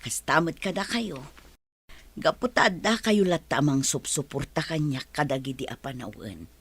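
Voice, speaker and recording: A woman playing a hardworking, grateful mother, firm but forgiving, in a Filipino radio drama, with a husky voice, Ilocano accent and earnest, expressive delivery. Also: deep voice, normal speed, no echo, heavily acted. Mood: grateful, tender.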